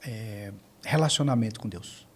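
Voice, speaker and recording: A man's voice: fairly low pitch, normal speed, husky. A man with a Brazilian accent, a podcast guest giving earnest testimony in conversation, repeating phrases for emphasis.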